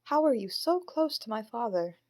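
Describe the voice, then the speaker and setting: A woman playing an earnest, sorrowful living puppet in an audio drama, speaking in a measured way. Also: a little high, expressive, natural voice. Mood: probing. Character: Marionette, earnest, sorrowful animatronic puppet daughter.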